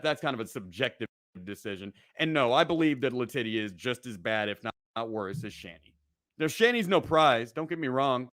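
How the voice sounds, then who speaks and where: A man's voice: mid-range voice, a bit bright, no echo. Scornful man ranting, dry recording. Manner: scornful, profane, sarcastic. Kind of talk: angry commentary rant.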